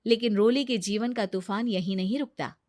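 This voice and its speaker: A woman's voice: mid-range voice, normal speed, a little mellow. A woman reading a scripted moral story as narrator.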